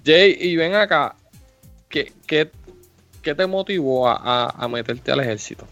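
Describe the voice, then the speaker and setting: A man's voice: fairly high pitch, lively intonation, measured pace, a bit bright. A man hosting a podcast, asking questions in a loose, meandering way full of fillers. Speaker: podcast host. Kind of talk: casual podcast interview. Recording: slightly muffled, faint music behind.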